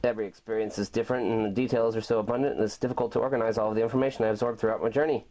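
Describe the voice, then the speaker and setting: A man giving an amateur dramatic reading of a science-fiction story, rambling and philosophical, with halting delivery. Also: fairly low pitch, steady tone, dark tone. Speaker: author performing his own audio drama. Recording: very noisy amateur home recording.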